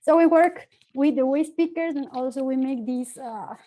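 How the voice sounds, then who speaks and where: A woman's voice: high voice, fairly quick, mellow. A young woman from Ecuador with a Spanish accent, explaining her work as a panelist in an online discussion. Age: young adult. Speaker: youth activist, webinar panelist.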